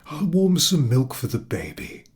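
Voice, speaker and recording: A man voicing a kindly, generous old shoemaker in a storybook, with a hearty, gentle manner. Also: fairly high pitch, huge pitch swings, unhurried, pitched above the performer's own voice. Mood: kindly, caring. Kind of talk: storybook character acting.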